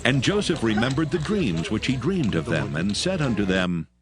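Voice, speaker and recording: A man's voice: mid-range voice, sing-song, moderate pace. A man narrating scripture as a dramatized audio story in a formal storytelling style. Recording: music behind the voice.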